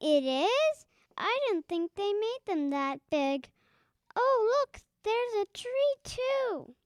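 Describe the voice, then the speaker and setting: An actor in an audio drama playing an excited, hopeful young girl with an eager, pleading way of talking. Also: very high voice, takes it slowly, no echo, exaggerated voice. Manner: eager, wide-eyed, pleading.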